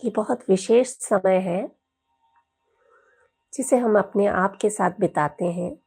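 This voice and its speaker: A woman guides a meditation in a gentle, soothing voice, leaving long pauses between instructions. Her tone is mellow and relaxed, with a husky, breathy softness. Faint music plays behind her. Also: mid-range voice. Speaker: yoga teacher leading guided meditation.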